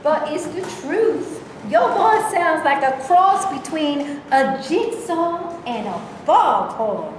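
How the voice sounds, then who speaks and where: Sharp-tongued, scornful female stage role woman acting, high and slow-paced, reverberant hall. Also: sing-song, heavily acted. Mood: mocking. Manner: sarcastic, cutting. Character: sharp-tongued, sardonic woman who scorns foolish men. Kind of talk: staged reading of a play. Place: indoor stage reading, live room. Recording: very echoey hall, faint background noise.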